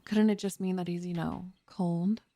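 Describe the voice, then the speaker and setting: A woman's voice: fairly low pitch, fairly even intonation, moderate pace, dark tone, no echo, close to an everyday voice. A woman playing a skeptical, teasing young elf and reluctant research partner in an ASMR roleplay, with a dry, grudging manner. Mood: doubtful, alarmed.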